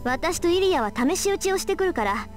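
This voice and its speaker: A woman playing a bossy, sharp-tongued young female mage in an anime visual novel, brisk and assertive. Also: extremely high pitch, sing-song, faint music behind.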